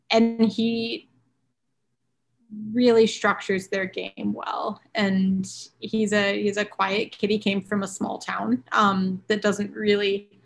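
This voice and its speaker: A woman on a podcast breaking down goalie prospects in knowledgeable detail. She thinks aloud with frequent ums and restarts. Her mid-pitched voice carries a noticeable vocal fry. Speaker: podcast guest, hockey analyst. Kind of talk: casual hockey chat.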